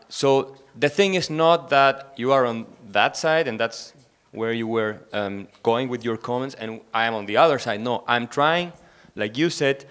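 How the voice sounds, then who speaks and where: A man speaking as an expert panelist, brisk and conciliatory, with a way of speaking. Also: medium pitch, a bit bright. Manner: brisk, conciliatory, with light laughs. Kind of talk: panel debate.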